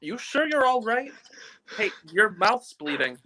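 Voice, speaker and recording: A man's voice: high voice, very animated, measured pace, pushed and bright, full character voice, low-quality recording. A man playing a folksy, concerned small-town policeman in a live radio play. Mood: concerned, exasperated. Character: gruff but concerned small-town policeman, old friend.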